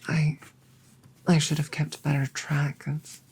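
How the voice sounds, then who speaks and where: A woman voicing an apologetic, self-blaming dragon girlfriend in a roleplay audio drama, speaking softly, tenderly and haltingly. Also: sits low, monotone, breathy edge, heavily acted. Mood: guilty, apologetic.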